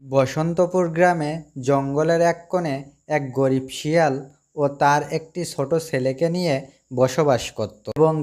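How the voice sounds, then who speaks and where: A man's voice: on the high side, darkish tone. A man reading scripted fairy-tale narration as the storyteller of a cartoon.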